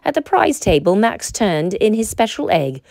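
A woman reading a children's story aloud as narrator, in an expressive, dramatic manner. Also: mid-range voice, normal speed, gravelly, dry recording.

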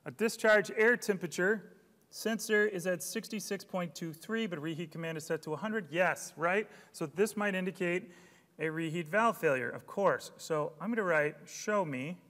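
A man's voice: high-pitched, moderate pace, fairly bright tone. A man in his thirties presenting a product launch keynote on a large auditorium stage, upbeat and theatrical. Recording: long hall reverb.

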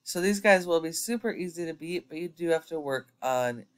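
A man talks through a website audit while clicking around his screen, explaining each point step by step and filling gaps with frequent ums. His voice sits a little high and comes across smooth and clear. It sounds like a screen recording captured on a computer mic. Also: lively intonation, moderate pace. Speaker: SEO consultant.

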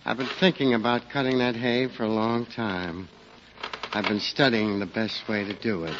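An actor playing a lazy, gentle, henpecked farmer, hesitant and mildly droll, in 1940s radio comedy style. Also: male voice, on the low side, fairly even intonation, normal speed, lightly acted. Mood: sluggish, reluctant. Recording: noisy, muffled 1940s radio recording.

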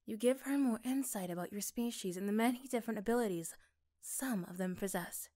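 A woman narrating a scripted second-person ASMR story in a soft, measured manner. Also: mid-range voice.

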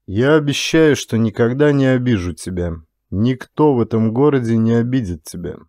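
A man voicing a steady, reassuring, protective man with compassion in an audiobook thriller. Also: medium pitch, normal speed, no echo, studio quality, lightly acted. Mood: bitter compassion.

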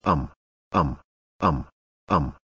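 A man's voice: rumbling low, expressive, drawn-out words, sharp tone, breathy edge, no echo, studio quality, heavily acted. A man voicing a bratty young boy who rants and insults in a cartoon. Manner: whiny, insulting ranting. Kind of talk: cartoon grounded-video dialogue.

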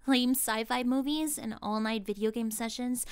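A woman's voice: on the high side, normal speed, clear, resonant tone, no room sound, clean studio sound, lightly acted. A woman voicing a catty, spoiled teenage cheerleader with a scornful, sarcastic manner in an audio roleplay. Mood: haughty. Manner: scornful, sarcastic, laughing derisively. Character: snobby mean popular teenage cheerleader girl, spoiled and catty. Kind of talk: ASMR roleplay acting.

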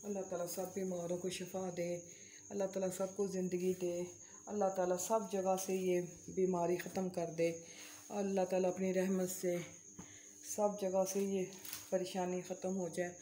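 A woman's voice: on the low side, level tone, moderate pace, mellow. A middle-aged Pakistani woman chatting casually for a home vlog in a homely, repetitive way with pious phrasing. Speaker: vlogger. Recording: roomy echo, faint background hiss.

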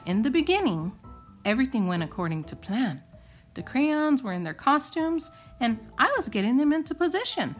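A woman reading a children's picture book aloud in an expressive, theatrical and deliberate way. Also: high-pitched, muffled recording, faint music behind.

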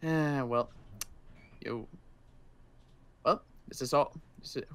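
A man voicing a wary but kindly armed guard in an improvised game roleplay, speaking casually and haltingly. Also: medium pitch, a bit bright, clear, resonant tone. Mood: casual, unsure. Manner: casual, halting, hesitant delivery.

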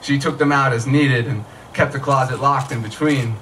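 A man's voice: medium pitch, fairly even intonation, normal speed, a bit bright. A man in his thirties reading short fiction aloud at a live event, in a conversational storytelling way. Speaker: author reading own work. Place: small tent stage at night, outdoors. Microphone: stand microphone, recorded by camera from audience. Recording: distant mic, noisy live outdoor event.

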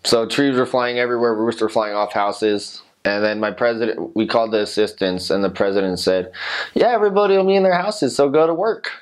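A man's voice: lowish voice, fairly quick. A young man in his twenties casually answering interview questions about his missionary service, rambling with lots of fillers. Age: 20s. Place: indoor studio with dark backdrop. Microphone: lapel mic.

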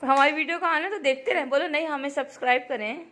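High-pitched woman coaxing children. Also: fast, noisy background. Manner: directing and prompting with short questions and commands. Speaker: parent filming children for a family vlog. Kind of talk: casual family vlog chat, coaxing children. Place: at home with children.

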